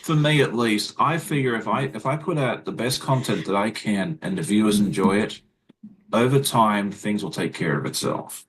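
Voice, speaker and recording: An Australian man, a guest, talks quickly in a casual livestream chat with lots of fillers and restarts. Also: lowish voice, a crowd in the background, rough recording. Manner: fast-talking, chatty, many fillers and restarts. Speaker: guest content creator.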